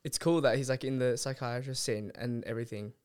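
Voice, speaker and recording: A man's voice: medium pitch, steady tone, fairly quick, a little mellow, clear, resonant tone. A young man hosting a podcast interview, hesitant and searching for words with frequent restarts and fillers. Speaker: podcast host. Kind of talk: interview chat.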